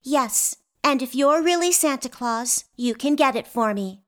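A woman's voice: sits high, animated, measured pace, a bit bright. A woman playing a precocious little girl in a comic radio play, dry and world-weary. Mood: wistful.

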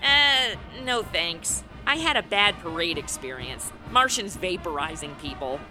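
A woman's voice: on the low side, expressive, unhurried, bright, forceful tone, faint music behind, full character voice, low-quality recording. A woman acting a sardonic, irritable, hard-drinking publicist in a comic radio play, with slangy, sarcastic delivery. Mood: wry, jaded.

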